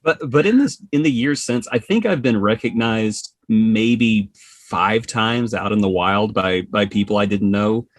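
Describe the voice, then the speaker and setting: A man as a podcast guest, casually telling personal anecdotes in an animated way and acting out bits of dialogue. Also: lowish voice, measured pace, no echo. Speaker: podcast guest, social media creator.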